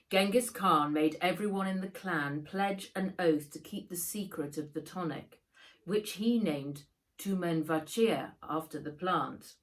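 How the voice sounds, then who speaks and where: A woman's voice: sits low, low-quality recording. A woman reading a storybook as a solemn clansman who guards his clan's history. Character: Jamuka, solemn Mongolian clansman telling clan history.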